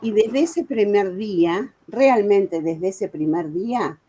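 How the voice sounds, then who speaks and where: A woman's voice: medium pitch, slow pace, dark tone, breathy edge. A woman in her seventies with a Buenos Aires accent gives a prepared talk as a guest speaker, telling her story earnestly with informal asides. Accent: Argentine, Buenos Aires. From Argentina. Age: 70s. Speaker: guest speaker, author and music educator.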